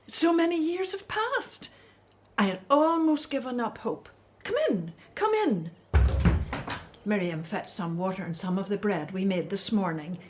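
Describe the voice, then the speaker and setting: A woman's voice: medium pitch, expressive, a little slow, slight background noise, lightly acted. A woman playing an anxious, loving elderly mother and grandmother in a radio drama. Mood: overjoyed, relieved.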